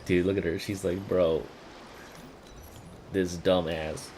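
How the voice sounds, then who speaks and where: A man's voice: deep voice, little pitch movement, slow, background noise. A man giving casual, profane and sardonic live commentary as he plays through a video game and reads bits aloud. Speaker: game streamer.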